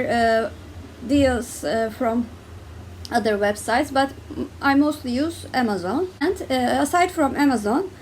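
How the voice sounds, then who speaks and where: Young turkish-accented woman chatting about books, high voice, lots of ums and restarts. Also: a little hiss or hum. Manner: hesitant, many fillers and restarts, laughs often. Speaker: book vlogger. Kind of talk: casual chat to camera.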